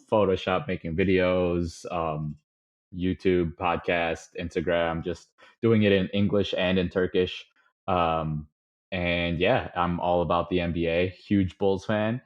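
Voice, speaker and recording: Low-voiced young man, relaxed guest. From suburbs of Chicago, USA. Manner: relaxed, rambling, many fillers, chuckles often. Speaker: podcast guest. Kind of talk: casual interview conversation. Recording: slightly dull lo-fi audio.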